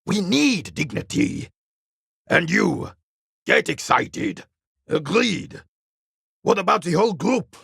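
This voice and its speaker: An actor playing a proud, bitter old royalist veteran in a video game, gruff and scornful, with a gravelly, raspy voice. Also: male voice, high voice, very animated, slow, pauses often, a bit bright, no echo, studio quality, full character voice. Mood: indignant, forceful. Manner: gruff, scornful, theatrical, barking at younger men. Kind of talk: video game character dialogue.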